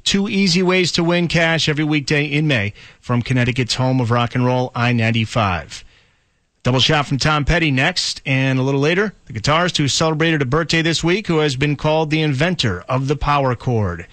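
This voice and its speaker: A man's voice: fairly high pitch, brisk, fairly bright tone, dry recording. An American man working as a rock radio DJ, announcing songs, contests and local news in a polished, punchy, upbeat announcer style.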